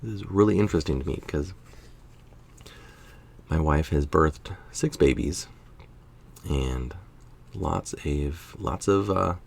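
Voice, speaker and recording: Casting: tired man, middle-aged, low creaky voice, informal article reader who drops in offhand remarks. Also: slow, pauses often, a little mellow. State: tired late at night. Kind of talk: reading an article aloud with casual asides.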